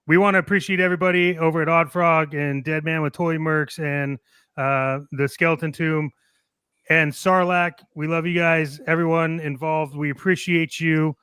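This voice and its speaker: A man's voice: fairly high pitch, steady tone, normal speed, sharp tone, clear, resonant tone, no room sound, clean studio sound. A man hosting a casual livestream, talking to the audience and signing off. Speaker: show host. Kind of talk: casual livestream sign-off talk.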